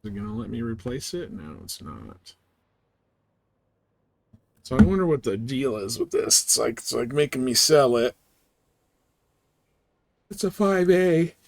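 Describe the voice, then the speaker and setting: A man's voice: mid-range voice, sing-song, a little slow, vocal fry, pauses often. A man giving casual live commentary as he plays a game, thinking aloud as he goes. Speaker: game streamer.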